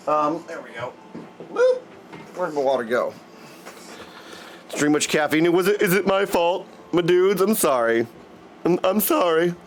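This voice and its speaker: A man's voice: on the high side, lively intonation, measured pace, long pauses, slight background noise. A man livestreaming casually, greeting viewers with effusive affection as he chats. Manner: effusive affectionate greetings, often addressing listeners as dudes. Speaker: live streamer greeting chat.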